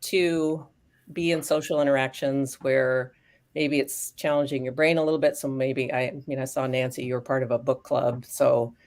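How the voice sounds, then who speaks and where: A woman speaking as a guest expert on aging dogs, explaining research in a thoughtful, casual way with frequent pauses and restarts. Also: rumbling low, steady tone, darkish tone. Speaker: guest expert on canine cognitive decline.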